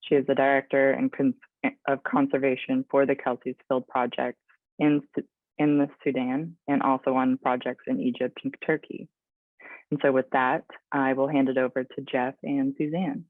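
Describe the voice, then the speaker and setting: A man's voice: medium pitch, flat delivery, normal speed, no echo, narrow, tinny audio. A man moderator introducing the speakers, reading their bios plainly with a few slips. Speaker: event moderator.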